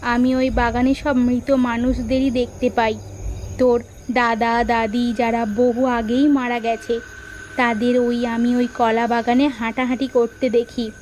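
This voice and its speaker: A woman playing a frightened village mother in a horror cartoon, speaking gravely about being haunted. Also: fairly high pitch, flat delivery, a little slow, darkish tone, slight background noise, close to an everyday voice. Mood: fearful, grave.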